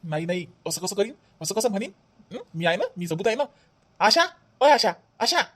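A woman's voice: medium pitch, expressive, fairly quick, fairly bright tone, slight background noise, lightly acted. A woman voicing a hot-tempered, accusing husband in a family comedy cartoon, repetitive and melodramatic, with a Vidarbha Marathi accent. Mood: agitated. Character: hot-tempered husband devoted to his sister-in-law. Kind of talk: animated family comedy acting.

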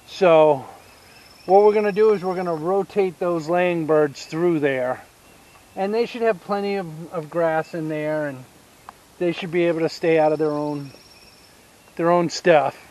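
Southern guy in his 50s walking round his farm, slow easy talk, camera at arm's length outside. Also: on the high side, darkish tone, dull audio. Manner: unhurried, explains step by step with pauses and fillers. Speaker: homesteader giving a tour. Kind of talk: casual walking tour. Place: outdoors on rural land by a pine forest. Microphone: action camera held at arm's length.

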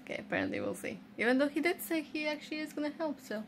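A woman's voice: sits high, huge pitch swings, rapid-fire, slight background noise. A young woman in her twenties chatting casually to camera at home, easygoing and explaining as she goes; English is not her first language. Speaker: vlogger. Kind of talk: casual vlog chat. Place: home bedroom, indoors.

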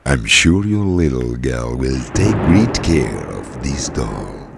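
An actor playing a sinister, courteous storyteller host in an old-time radio horror play, with a theatrical, ingratiating manner. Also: male voice, very deep voice, big pitch swings, slow, gravelly, exaggerated voice. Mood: eerily welcoming. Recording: loud storm noise behind voice. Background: thunderstorm.

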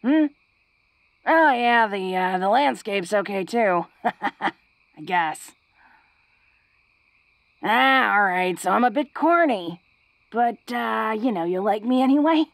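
A woman's voice: a little high, expressive, slow pace, creaky, pauses often, no room sound, heavily acted. A woman playing a tough, flirty, secretly awkward female orc in an intimate romantic audio roleplay, speaking in a hesitant, folksy, teasing way. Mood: bashful, affectionate.

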